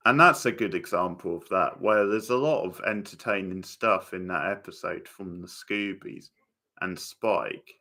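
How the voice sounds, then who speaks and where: A man chatting casually in live commentary on a TV show, halting and trailing off mid-thought. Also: low voice, sharp tone. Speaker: watchalong host commenting on a TV show.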